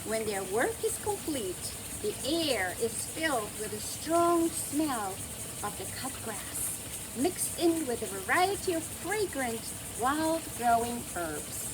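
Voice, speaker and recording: High, lilting, slow woman storyteller. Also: very animated, background noise. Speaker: children's storyteller. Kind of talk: expressive scripted storybook narration.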